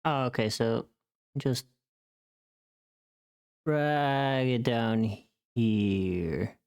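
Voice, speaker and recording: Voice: mid-range voice, steady tone, very slow, darkish tone, smooth. Casual streamer muttering while working. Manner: muttering to self, repeating words.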